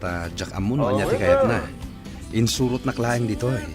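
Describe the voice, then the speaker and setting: A man's voice: mid-range voice, wildly swooping pitch, fairly quick, loud music behind the voice. A man playing a reluctant, mildly grumbling fellow in an Ilocano comedy radio drama. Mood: puzzled, grumbling.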